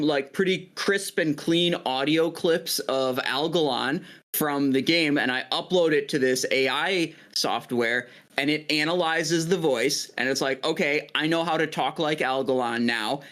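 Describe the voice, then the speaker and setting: A man guesting on a gaming podcast, talking in a steady, informative, fairly monotone way with lots of "uh" and "like". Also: on the high side, measured pace. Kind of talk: casual podcast chat.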